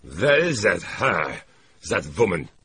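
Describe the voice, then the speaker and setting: A man's voice: on the low side, animated, moderate pace, fairly bright tone, slight background noise, pitched above the performer's own voice. A man voicing an agitated, exasperated man with urgent, clipped delivery, in a dramatic audiobook reading. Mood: despairing. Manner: urgent, insistent, short clipped sentences. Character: agitated, exasperated man tending a disturbed woman.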